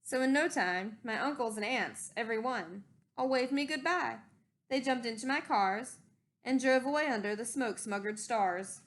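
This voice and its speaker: A woman reading a rhyming storybook aloud expressively, with deliberate dramatic emphasis. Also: on the high side.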